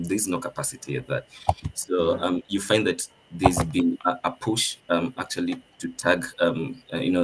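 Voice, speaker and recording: Deep-voiced Kenyan man on a video call. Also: background noise. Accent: Nairobi Kenyan. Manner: many ums, thoughtful. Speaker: panelist. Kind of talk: panel discussion.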